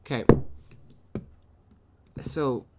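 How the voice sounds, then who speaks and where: A man's voice: very slow, very noisy. A man with a sniffly nose, reviewing his own trades aloud in a casual, profane recap, often muttering to himself.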